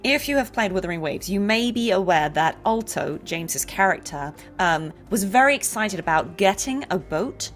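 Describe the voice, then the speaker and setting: A woman hosting a chatty, playful interview, talking like an excited fan in an animated, gushing way. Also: medium pitch, normal speed, hardly any pauses, soft background music. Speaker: interview show host and voice actor.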